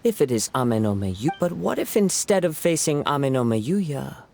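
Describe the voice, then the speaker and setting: An actor playing a calm, thoughtful young wandering samurai in a video game, speaking in a measured, formal, reasoning manner. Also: male voice, mid-range voice, creaky, a little hiss or hum. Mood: pensive.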